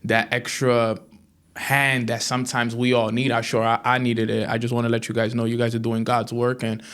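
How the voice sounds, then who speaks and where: A man's voice: medium pitch, little pitch movement, moderate pace, a bit bright, clear, resonant tone. A man, telling his personal story in an earnest, slangy way as a podcast guest. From Washington Heights, New York City. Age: early 30s. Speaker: podcast guest, former athlete. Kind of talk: personal storytelling in casual chat.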